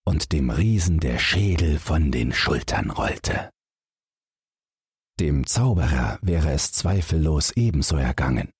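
A man narrating a bedtime fairy tale as an audiobook, in a measured, expressive storytelling manner. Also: a bass voice, breathy edge, no room sound, clean studio sound.

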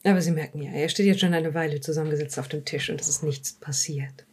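A woman playing a composed, formal police psychological evaluator in an audio drama, speaking in measured, careful phrases and politely dismissive. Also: sits low, a little mellow, husky. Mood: polite, businesslike. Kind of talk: improvised audio drama dialogue.

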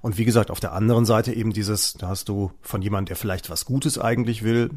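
A man's voice: fairly low pitch, steady tone, fast. A man co-hosting a podcast, talking thoughtfully in a casual discussion, hesitating and repeating words as he searches for the right phrasing. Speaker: podcast co-host.

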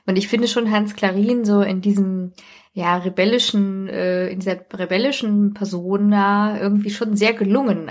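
A woman's voice: medium pitch, darkish tone. A woman talking casually on a podcast in a chatty, anecdotal way. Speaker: podcast co-host or guest.

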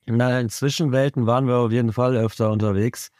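A man, a musician guest in an interview, answering in a relaxed, matter-of-fact way. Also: medium pitch, brisk, no echo, studio quality. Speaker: band member, musician guest.

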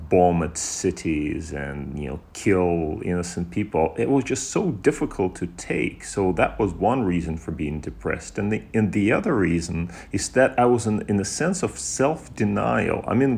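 A man's voice: low voice, expressive, normal speed, creaky, hardly any pauses, a little mellow. A man from Russia speaking as an expert interview guest, searching for words and often repeating them. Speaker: guest historian and political commentator.

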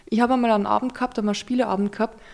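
Young woman asking questions, slightly high voice, chatty and friendly. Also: fairly even intonation, darkish tone. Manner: friendly, quick to laugh and agree. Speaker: interviewer. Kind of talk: casual interview chat.

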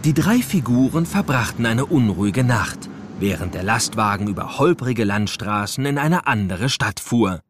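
A man's voice: fairly low pitch, noisy background. A man narrating a scripted children's story with a playful, dramatic storytelling manner.

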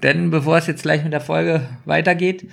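A man's voice: a little high, fairly even intonation, normal speed, vocal fry. A man in a casual chat as podcast co-host, talking colloquially in short reactions and questions.

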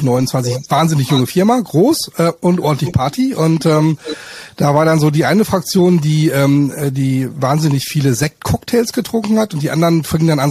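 A middle-aged man chatting casually in a halting, hesitant way, often adding short tag questions. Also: a little high, darkish tone, slightly rough. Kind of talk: casual chat.

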